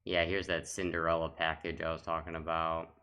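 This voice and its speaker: Low-pitched guy chatting casually for a gaming video, bright clear sound, kinda rambly. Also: little pitch movement, a little fast. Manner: rambling, reminiscing. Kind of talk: casual off-the-cuff commentary.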